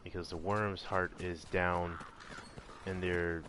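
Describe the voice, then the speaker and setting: A man giving casual, halting gameplay commentary as he explains things while playing. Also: low voice, flat delivery, background noise. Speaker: video game streamer.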